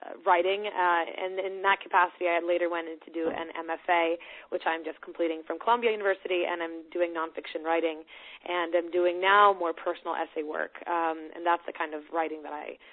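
A woman's voice: lowish voice, fairly even intonation, brisk. A young woman whose first language is Swedish, speaking as an interview guest, thoughtful and hesitant with fillers. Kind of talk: casual interview chat. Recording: tinny telephone line.

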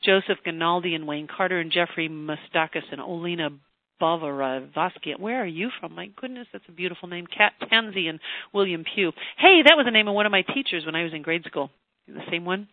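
An older teleseminar host and voice coach who chats warmly with listeners, then leads a slow, soothing guided relaxation. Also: medium pitch, animated, no room sound. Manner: effusive and chatty, turning slow and soothing for a trance induction. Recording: tinny phone line teleseminar, dry.

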